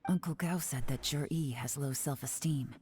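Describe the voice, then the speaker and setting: An actress playing a calculating, quietly amused investigator in a video game, composed and sly, hushed at times. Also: female voice, very deep voice, moderate pace, husky, soft background music, full character voice. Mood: cool, calculating.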